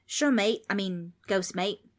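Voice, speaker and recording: Woman playing an easygoing Australian boy, slightly raised pitch. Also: measured pace, natural voice. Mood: friendly correcting. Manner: matey, slangy, chatty. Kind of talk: children's audiobook character voice.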